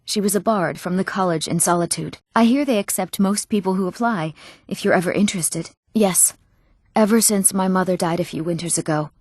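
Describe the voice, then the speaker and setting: A young woman voicing an eager village woman in a fantasy video game, speaking earnestly and clearly in scripted lines. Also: fairly low pitch, a little fast, a little mellow, no room sound, studio quality, close to an everyday voice. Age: young adult.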